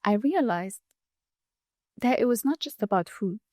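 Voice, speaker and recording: A woman's voice: a little high, animated, unhurried, warm tone, no echo, clean studio sound. A woman from Saudi Arabia, a chef and food blogger, earnestly explaining at length in an interview and often restarting her sentences. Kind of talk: interview conversation.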